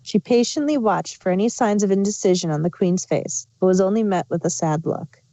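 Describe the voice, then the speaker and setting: A woman's voice: mid-range voice, brisk, warm tone. A woman narrating fan fiction as an amateur story reader. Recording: muffled, roomy home recording.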